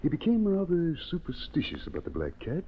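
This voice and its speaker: An actor playing an earnest, grave archaeologist who lectures formally and carefully, in old-time radio drama style. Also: male voice, a little high, wildly swooping pitch, a little fast, husky, full character voice. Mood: grave explaining. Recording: muffled, noisy old radio recording.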